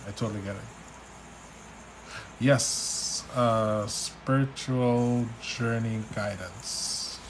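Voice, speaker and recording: A man from Trinidad with a Trinidadian accent, a spiritual reader on a live stream, relaxed and chatty as he reads out viewers' questions. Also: medium pitch, very slow, noisy background. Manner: relaxed, chatty, reads names and questions aloud, restarts often. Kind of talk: live stream reading, answering chat questions.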